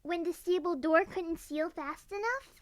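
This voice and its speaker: Young pony girl, very high-pitched, bright, inquisitive, eager, dry audio drama recording. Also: a little slow, dry recording. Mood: eager, curious. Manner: earnest, inquisitive.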